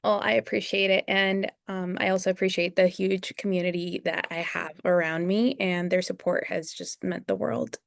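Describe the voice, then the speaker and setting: A young woman from Venezuela speaks as an earnest interview guest. Her voice sits somewhat low and sounds close and dry. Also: fairly even intonation, moderate pace, gravelly, hardly any pauses, dry recording. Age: young adult. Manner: earnest, sincere. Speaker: dentist and practice owner.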